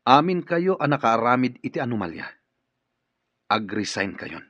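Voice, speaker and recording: A man's voice: medium pitch, sing-song, moderate pace, a bit bright, no room sound. A man acting in a radio drama as a stern, commanding boss who has been betrayed. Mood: stern, accusing. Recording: dull muffled radio drama recording.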